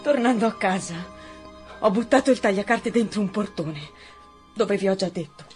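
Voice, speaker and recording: An actress playing a distraught, wronged young working girl in an Italian radio drama, speaking in a halting, tearful way. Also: medium pitch, expressive, darkish tone, soft background music, close to an everyday voice. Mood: anguished.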